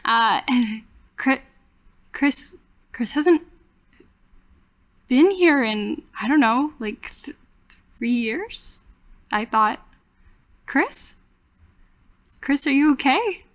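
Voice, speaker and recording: A woman playing a shaken, protective camp staffer in a tabletop game, halting and repeating herself. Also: sits high, lively intonation, full character voice. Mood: worried. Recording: music under the voice.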